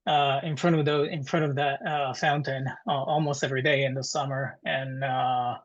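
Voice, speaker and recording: A man in his thirties with an Italian accent, presenting informally to a webinar in a halting, conversational way. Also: medium pitch, fairly even intonation. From Italy. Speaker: guest presenter, dental technician. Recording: dull, muffled webinar audio.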